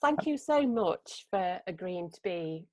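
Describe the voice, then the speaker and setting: A woman's voice: fairly low pitch, animated, measured pace, warm tone, no echo. A woman with an English Midlands accent, hosting a podcast and chatting through anecdotes. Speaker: podcast host. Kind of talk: casual podcast conversation.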